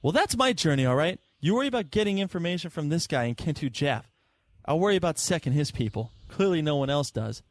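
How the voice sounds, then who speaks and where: A man's voice: fairly high pitch, animated, quick pace, dry recording, clean studio sound, lightly acted. A man playing a righteous, headstrong police detective in an audio drama, curt and principled. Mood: accusing, indignant.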